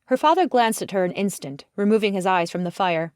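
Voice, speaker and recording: A woman's voice: mid-range voice, expressive, brisk, darkish tone, smooth, no echo, clean studio sound. A woman reading scripted literary narration as an audiobook narrator.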